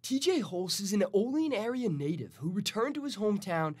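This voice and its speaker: A man's voice: high voice, sing-song, a little fast, darkish tone, husky, no echo, studio quality. A young American man delivering broadcast news as a student TV reporter, in a formal announcer-like manner. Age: young adult.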